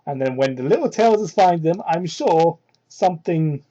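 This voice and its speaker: A medium-pitched voice reads fan fiction aloud in a mocking, theatrical way. The reader keeps dropping into incredulous, exasperated asides and swears freely. The sound is that of a cheap hobby microphone. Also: expressive, unhurried, mellow, dull audio. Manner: exasperated, incredulous, foul-mouthed. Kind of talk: mocking dramatic reading with ad-libbed asides. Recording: poor-quality hobby microphone.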